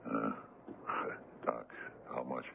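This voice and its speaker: An actor playing a gruff, ailing but tough ship's captain with a gravelly, halting, muttering delivery in an old-time radio drama. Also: male voice, very deep voice, little pitch movement, heavily acted. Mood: weak, weary. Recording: tinny, noisy old radio broadcast.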